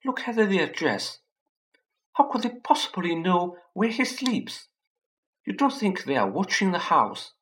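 A man doing a shrill, quivering aunt. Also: sing-song, moderate pace, hardly any pauses, a little hiss or hum, rough recording. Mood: frightened. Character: nervous, fussy middle-aged aunt.

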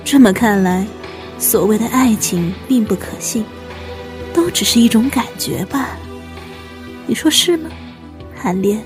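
A woman acting as a poised, ambitious young female cook in a radio drama. Her voice is fairly high and measured, with a cool cynical edge, over soft music. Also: animated. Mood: coolly cynical. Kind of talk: Mandarin audio drama acting with narration.

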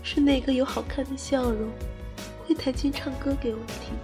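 A woman's voice: sits high, fairly even intonation, moderate pace, music in the background, close to an everyday voice. A young woman playing a spirited, devoted, playfully bossy college-age girlfriend in a Mandarin radio drama. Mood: tender, earnest. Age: young adult.

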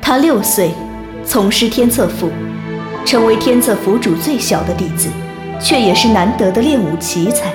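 A woman narrating a wuxia audio drama as a scripted story, solemn and measured. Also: a little high, lively intonation, lightly acted. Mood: solemn, questioning. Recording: roomy reverb with music behind.

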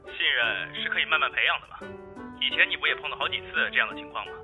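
A man's voice: medium pitch, animated, racing, narrow, tinny audio, over music, natural voice. A man playing a chatty young male friend with a breezy, chiding manner.

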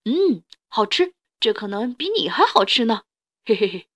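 A woman voicing a big male Tyrannosaurus in a storybook, a gruff, hungry loner with a soft heart, blunt and halting. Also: a little high, sing-song. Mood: cheerful.

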